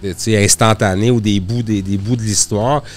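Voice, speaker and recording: Low Québécois man explaining. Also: fairly even intonation, moderate pace, soft background music. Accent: Québécois French. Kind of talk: casual explaining.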